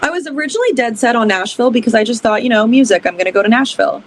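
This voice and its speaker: A woman's voice: on the high side, lively intonation, rapid-fire. A young adult woman from Canada, a band's lead singer, talking in a casual, upbeat interview with lots of fillers. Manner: chatty, upbeat, many fillers. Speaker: band singer and guest.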